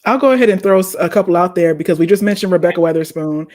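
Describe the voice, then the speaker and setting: A woman's voice: fairly low pitch, rapid-fire, a little mellow. A woman speaking as a panelist in a casual chat, gushing about recommendations in a playful, emphatic way.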